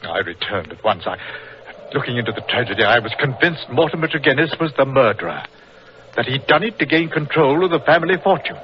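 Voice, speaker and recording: A man's voice: mid-range voice, close to an everyday voice. A man playing a proud, grief-stricken explorer doctor, grave and impassioned, in 1940s radio drama style. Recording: muffled, noisy old-time radio recording.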